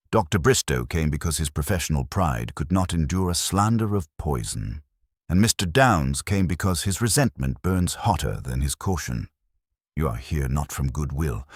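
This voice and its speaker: Male narrator as unyielding genius sleuth for mystery audiobook: formal, commanding, very low, with emphatic swings in pitch. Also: husky, no echo, studio quality, heavily acted. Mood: cutting, indignant. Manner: slow, measured syllables, formal and commanding. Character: imperious, calm, stern male detective genius, pedantic and unyielding.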